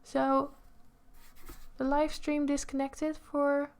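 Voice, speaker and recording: A woman in her twenties chatting casually on an art livestream while she draws, unhurried and pausing between short phrases. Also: high voice, completely flat delivery, darkish tone. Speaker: art streamer and pastel artist. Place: indoors at a drawing desk.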